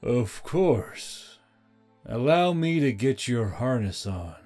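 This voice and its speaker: A man voicing a skeletal metal robot with a precise, formal, deadpan, gently teasing manner. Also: mid-range voice, slow pace, soft background music. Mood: calm, deadpan.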